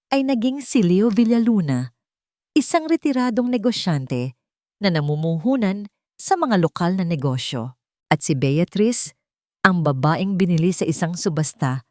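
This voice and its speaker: A woman's voice: lowish voice, a little mellow, no echo, studio quality. A woman reading a scripted dramatic story as a storyteller. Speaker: storyteller, audio drama narrator.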